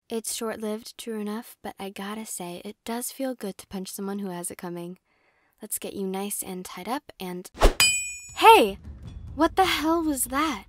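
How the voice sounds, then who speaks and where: An actress playing a young, quippy, rambling superheroine in an audio drama. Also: female voice, medium pitch, no echo, clean studio sound, close to an everyday voice. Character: wisecracking young superheroine, lonely but cocky.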